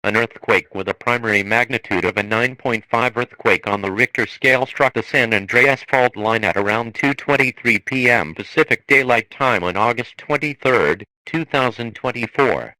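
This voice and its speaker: A man reading a scripted emergency broadcast in a formal, measured manner. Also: mid-range voice, bright, forceful tone, no echo, natural voice, low-quality recording. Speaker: emergency alert announcer.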